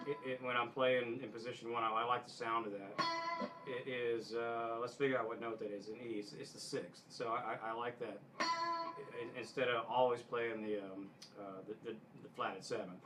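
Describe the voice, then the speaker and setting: Halting male guitar instructor with guitar behind. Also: medium pitch, poor audio. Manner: halting, with frequent throat clearing and backtracking. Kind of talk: casual instructional talk to camera.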